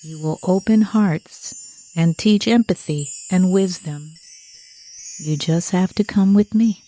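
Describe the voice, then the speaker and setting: Actress playing a calm woman advocate, mellow tone, slow deliberate pace, puppet stage musical, faint music behind. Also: on the low side. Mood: hopeful, inviting. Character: calm woman advocate who rescues banned books.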